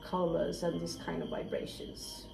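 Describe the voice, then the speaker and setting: A woman's voice: on the low side, wildly swooping pitch, measured pace, creaky, dark tone, faint music behind. A woman giving a casual tarot reading, candid and chatty, swearing freely and dropping into whispered asides. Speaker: tarot reader. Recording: roomy echo, faint crickets.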